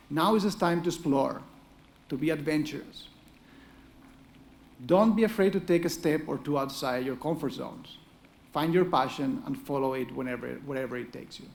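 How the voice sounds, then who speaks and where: Spanish-accented guy, middle-aged, speaking at a podium, voice a bit high with lots of gravel. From Spain. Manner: earnest, encouraging address with occasional dry humor. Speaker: economics professor. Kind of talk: formal ceremonial speech.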